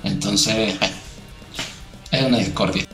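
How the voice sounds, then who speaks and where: A man from Chile who grew up in Venezuela, telling stories in a relaxed, chatty way as an interview guest. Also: on the low side, unhurried, creaky, echoey room, over music. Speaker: guitarist, guest. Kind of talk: casual interview.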